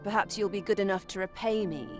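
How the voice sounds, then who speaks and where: A woman's voice: mid-range voice, music in the background, lightly acted. An actress playing a poised, secretive noblewoman in a video game, with coy, haughty, formal speech. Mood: cool, dismissive. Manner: coy, haughty, measured, archaic formal phrasing. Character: poised, sly, teasing noblewoman, secretive and imperious. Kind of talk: video game cutscene acting.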